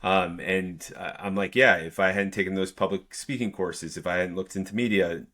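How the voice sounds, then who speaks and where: A man's voice: low-pitched, fairly even intonation, moderate pace, sharp tone. A man hosting a podcast interview, affirming his guest and asking follow-up questions. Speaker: podcast host. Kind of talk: conversational interview.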